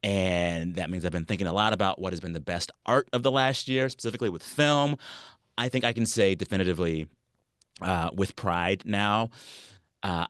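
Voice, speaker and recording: A man co-hosting a podcast, chatting casually and teasing his guests with emphatic, animated delivery. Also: sits low, no echo, studio quality. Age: 40s. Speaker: podcast co-host. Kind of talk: casual chat.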